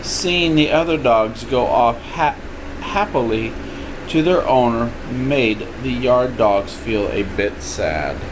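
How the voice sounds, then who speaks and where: An older man reads a children's storybook aloud at a slow pace. His delivery is informal and expressive, like a grandfather at home, and there is a clear air conditioning hum behind his voice. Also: medium pitch. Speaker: grandfather reading a picture book aloud. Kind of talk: storybook read-aloud with playful asides. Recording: steady room noise, air conditioning hum.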